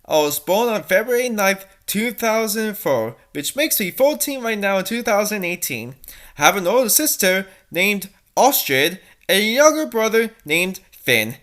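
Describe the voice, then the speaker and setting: A woman's voice: medium pitch, sing-song, moderate pace, fairly bright tone. A woman voicing a melodramatic, edgy fourteen-year-old cat princess from a fanfiction, in an overdramatic, mock-serious manner. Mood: self-important, dramatic.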